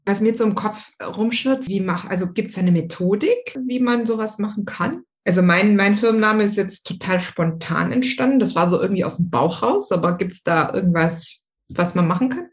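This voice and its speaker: A woman's voice: mid-range voice, moderate pace. A woman hosting an interview, asking upbeat and enthusiastic questions. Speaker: podcast host.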